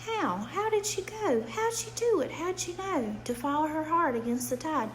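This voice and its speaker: Young boy storybook creature, played by a woman: very high, yearning, anxious, pleading delivery, faint background noise. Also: expressive, moderate pace, rough recording. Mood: pleading, wondering. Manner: pleading, emphatic repeated questioning.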